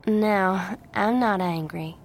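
A woman voicing a gentle, friendly young woman who is patient with children, in an audiobook story. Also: medium pitch, slow, a little hiss or hum. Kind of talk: audiobook character voice.

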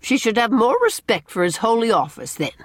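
A woman's voice: on the high side, wildly swooping pitch, normal speed, no room sound, voice pushed up. A woman voicing a stern, opinionated older village matron in an audiobook, emphatic and scolding with old-fashioned country phrasing. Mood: indignant, severe. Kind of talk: audiobook character dialogue.

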